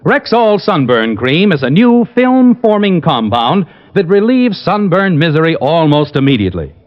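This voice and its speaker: A man delivers an old-time radio advertisement in a scripted announcer style. His voice is a bit high with animated pitch, and the recording is dull and dated. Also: normal speed. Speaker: commercial announcer.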